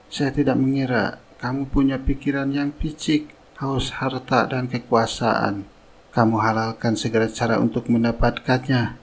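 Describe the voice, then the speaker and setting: A man playing a dignified older company boss and father in a cartoon melodrama, husky, measured and authoritative. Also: mid-range voice.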